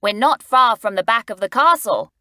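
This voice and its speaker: A woman's voice: a little high, lively intonation, moderate pace, sharp tone, dry recording, voice pushed up. A woman voicing a confident, eager boy who leads a group of children in a storybook.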